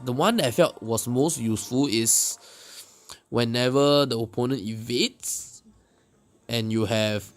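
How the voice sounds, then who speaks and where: A man giving casual gameplay commentary in a hesitant, explanatory way, often pausing mid-sentence. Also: medium pitch, a little mellow. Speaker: gaming video creator. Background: music.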